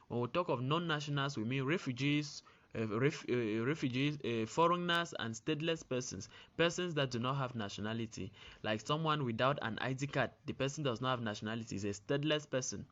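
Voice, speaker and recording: Male Cameroonian teacher, mid-pitched, didactic lecture delivery that restates key points, muffled low-fidelity recording. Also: brisk, a bit bright. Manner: didactic, repeats and rephrases key phrases. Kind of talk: spoken lesson, explanatory lecture. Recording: dull, lo-fi sound.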